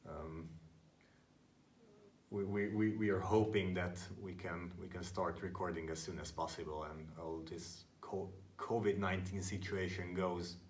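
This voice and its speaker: A young man with a very deep voice talks haltingly, stopping and restarting his sentences. He is heard from some distance, with a faint hiss in the background. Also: fairly even intonation. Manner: hesitant, with fillers and repeated words. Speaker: band member. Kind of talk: interview answers to camera. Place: rehearsal room with a drum kit.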